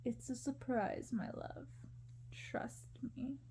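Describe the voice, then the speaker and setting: Woman playing a soft, suave storybook prince. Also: high voice, fairly even intonation, takes it slowly, a little hiss or hum, rough recording. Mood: teasing, tender. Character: scheming young prince, suave and coaxing lover.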